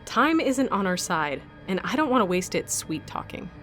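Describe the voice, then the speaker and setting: An actress voices a hard, bitter woman held captive to fight in an arena. She is blunt and sardonic, always looking out for herself and demanding answers. Her pitch is average for a woman, and music plays behind this audio drama. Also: big pitch swings, moderate pace, fairly bright tone. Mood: cynical, desperate.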